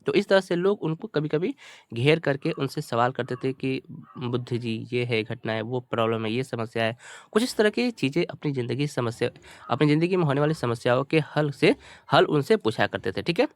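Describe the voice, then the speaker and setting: A man's voice: medium pitch, animated, normal speed. A man teaching through a story, reading phrases out and translating them word by word in a repetitive, explanatory manner. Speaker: teacher, channel host.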